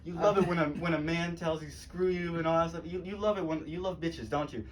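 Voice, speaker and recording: Komedian remaja laki-laki di panggung. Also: male voice, a little high, quick pace. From Los Angeles. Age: teens. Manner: deadpan delivery, laughs at own jokes. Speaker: stand-up comedian. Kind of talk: live stand-up set. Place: comedy club stage, live audience. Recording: noisy live room, mic at a distance.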